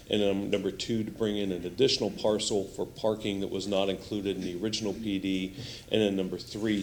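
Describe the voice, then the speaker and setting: A man speaking at a council hearing, hoarse and losing his voice, laying out points in order. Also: sits low, slight background noise. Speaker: applicant's representative. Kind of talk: public testimony. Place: city council chamber.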